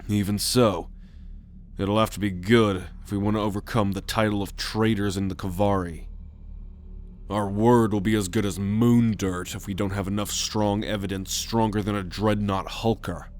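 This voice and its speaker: A man acting a roguish, protective alien scout captain in a sci-fi ASMR roleplay, measured and authoritative as he gives orders. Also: fairly low pitch, fairly even intonation, lightly acted. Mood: calm, assured.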